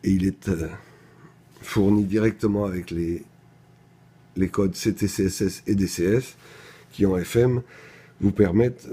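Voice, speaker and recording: A man's voice: low voice, mellow, slightly rough. A man presenting a product in an unscripted technical demonstration, explaining things methodically, step by step.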